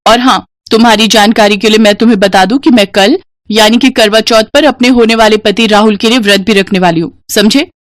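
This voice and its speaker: A woman's voice: fairly high pitch, brisk, no echo, close to an everyday voice. Woman voicing a headstrong lovestruck schoolgirl, dry sound. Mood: defiant. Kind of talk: dramatized Hindi moral story acting.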